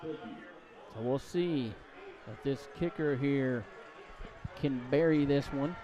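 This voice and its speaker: An American man calling live high school football play-by-play on a headset mic at a stadium. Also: slow pace, warm tone, muffled recording. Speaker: play-by-play sports announcer. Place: outdoor stadium.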